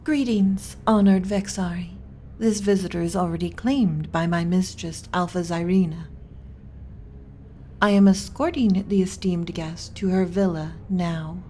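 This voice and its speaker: A woman playing a gentle, submissive alien servant in an ASMR roleplay, speaking softly and breathily with hesitant, deferential pauses and some whispering. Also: lowish voice, steady tone, a little hiss or hum, natural voice. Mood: formal, polite. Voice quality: soft, breathy with whispered stretches. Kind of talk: ASMR roleplay, soft intimate delivery.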